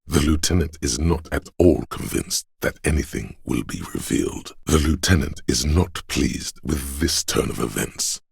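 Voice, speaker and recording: Game narrator: solemn, loyal cop's-instinct voice, rock-bottom bass with heavy gravel, husky, literary storytelling delivered with dry wit. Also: male voice, no echo, exaggerated voice. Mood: wry, measured. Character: grave, watchful inner voice of police camaraderie, wry and loyal.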